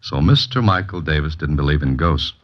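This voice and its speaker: An actor playing a laconic, wisecracking writer-adventurer with an American accent, narrating a 1940s radio mystery. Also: male voice, a bass voice, lively intonation, a little fast. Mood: wry narrating. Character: dry, wry writer and amateur adventurer, male narrator. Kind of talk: 1940s radio mystery acting, hard-boiled first-person narration. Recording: muffled 1940s radio recording with music.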